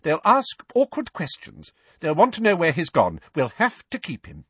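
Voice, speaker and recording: A man's voice: medium pitch, lively intonation, a bit bright, slightly rough, dry recording, pitched above the performer's own voice, full character voice. A man voicing a snappish middle-aged aunt with a shaky voice, in an audiobook story. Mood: brisk, snappish. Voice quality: shaky, weak voice. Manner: brisk, snappish, faltering under strain.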